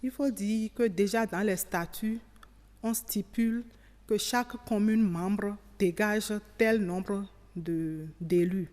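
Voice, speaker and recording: A woman giving measured, explanatory interview answers as an expert, often rephrasing as she goes. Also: medium pitch, fairly even intonation, a little mellow. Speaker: expert interviewee on local government. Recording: reverberant hall sound.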